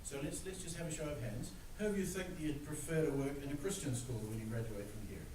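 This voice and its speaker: A man's voice: a little high, fast. Older kiwi guy lecturing, lots of uh's, echoey room, mic far off, noisy. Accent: New Zealand. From New Zealand. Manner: relaxed, joking, with many "uh" fillers. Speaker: guest speaker. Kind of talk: lecture to students. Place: large room.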